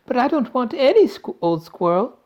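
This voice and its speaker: A man voicing a spoiled rich little girl who whines and shouts her demands. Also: high-pitched, very animated, normal speed, lo-fi sound, voice pushed up, full character voice. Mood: shrieking.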